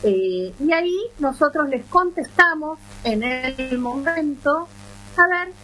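A woman's voice: sits high, expressive, slow, bright, forceful tone, rough recording. Argentine woman with a faint hum behind. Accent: Argentine Spanish. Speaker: travel columnist. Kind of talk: casual radio chat.

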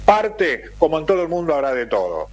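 A man's voice: fairly high pitch, sing-song, fairly quick, faint music behind. A man in his seventies with an Argentine Spanish accent, hosting local radio, chatty and jokey, reading announcements and musing. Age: 70s. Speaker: radio host.

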